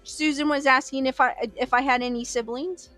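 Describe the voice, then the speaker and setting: A woman's voice: high voice, fairly even intonation, moderate pace, bright, forceful tone, soft background music. A woman hosting a livestream, chatting casually and laughing often as she reads viewer comments aloud. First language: Korean as early childhood language. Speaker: livestream host. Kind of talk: casual chat reading live comments.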